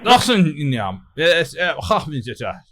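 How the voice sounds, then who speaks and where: Man putting on an excitable high-voiced babbling foreign guest. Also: slight background noise. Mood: agitated babbling. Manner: babbling, halting, interjection-filled. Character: agitated foreign male hotel guest, babbling complaints. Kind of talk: prank call character.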